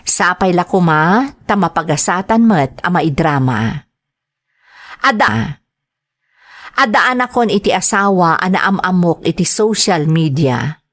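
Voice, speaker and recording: Casting: female radio-style host, Ilocano accent, slightly low pitch, dry studio sound, reading letters and shout-outs. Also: expressive, a little slow, long pauses, no room sound. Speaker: drama programme host and letter reader. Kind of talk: reading greetings and a listener's letter.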